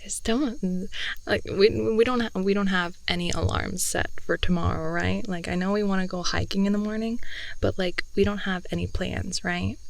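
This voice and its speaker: A young woman playing a talkative, affectionate, playful girlfriend in an improvised ASMR roleplay, rambling softly and often slipping toward a whisper, close to the microphone. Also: mid-range voice, fairly even intonation, moderate pace, creaky, natural voice, poor audio. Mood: cozy, doting. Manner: rambling, giggly, with doting asides. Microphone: close headphone-oriented ASMR microphone.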